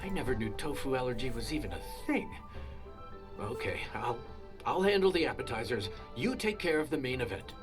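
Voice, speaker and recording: A man's voice: medium pitch, sing-song, a little fast, music in the background, close to an everyday voice. A man playing an easygoing, polite young restaurant cook in a video game, accommodating and a little hesitant. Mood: resigned, helpful.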